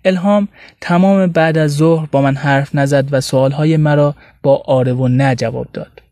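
A man's voice: fairly high pitch, fairly even intonation, dark tone, no room sound. Man narrating, dry close sound. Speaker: radio narrator. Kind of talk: scripted first-person story narration.

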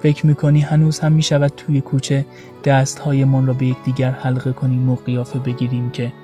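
A man's voice: medium pitch, monotone, dark tone. A man reading scripted, intimate prose expressively, with a tender and wistful delivery. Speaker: podcast narrator. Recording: roomy echo, faint music behind.

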